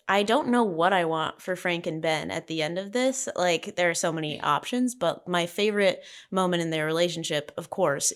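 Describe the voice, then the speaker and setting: Female panelist, slightly low register, casual, thoughtful and halting delivery. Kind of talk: casual script discussion.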